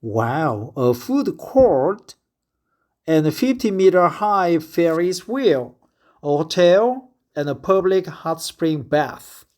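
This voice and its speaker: Slow, hesitant Korean man. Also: on the high side, very animated, darkish tone. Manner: hesitant, many filler sounds. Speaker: vlogger. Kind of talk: casual spoken diary with reading aloud.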